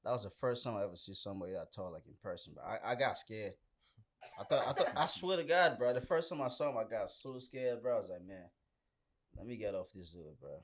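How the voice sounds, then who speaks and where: A man's voice: on the low side, fast. A young man in a casual group chat, telling stories in slangy speech and often calling his friends bro. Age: young adult. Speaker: podcast participant.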